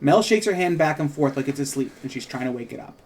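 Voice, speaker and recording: A man with a fairly high voice reads screenplay directions aloud. He is a writer and co-host, and he moves easily between narrating and casual commentary on the script. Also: lively intonation, quick pace, a little mellow. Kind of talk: table read with casual commentary.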